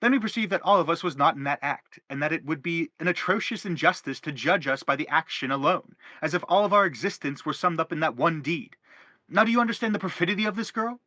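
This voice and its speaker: A man's voice: on the high side, expressive, quick pace, pushed and bright, no echo. A man playing a self-justifying middle-aged father in a stage play, philosophizing earnestly in a wordy, declamatory way. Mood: pleading, indignant. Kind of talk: theatrical stage-play reading.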